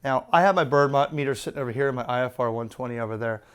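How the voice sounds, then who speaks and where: A man's voice: medium pitch, quick pace, smooth. A man presenting a casual, unscripted hands-on product review, talking bluntly and colloquially as he explains things step by step.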